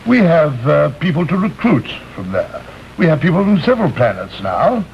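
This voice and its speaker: An actor playing a suave, condescending alien villain in a vintage television suspense drama, urbane and hesitant, with polite menace. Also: male voice, fairly high pitch, huge pitch swings, moderate pace, creaky, dull audio, slight background noise, exaggerated voice. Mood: urbane explaining.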